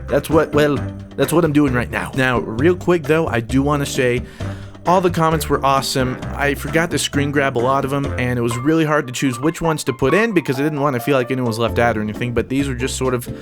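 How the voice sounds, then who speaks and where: A man hosting a casual vlog, upbeat and energetic as he reads viewer comments. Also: medium pitch, fairly quick, over music. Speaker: YouTube gaming channel host.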